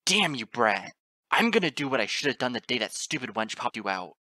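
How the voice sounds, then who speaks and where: Woman acting a snarling, very low-voiced brutish father. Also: big pitch swings, a little fast, bright, forceful tone, no echo, clean studio sound, full character voice. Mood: furious, menacing. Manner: snarling, growling, menacing. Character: angry, cruel adult male unicorn father. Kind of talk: dramatic audiobook character acting.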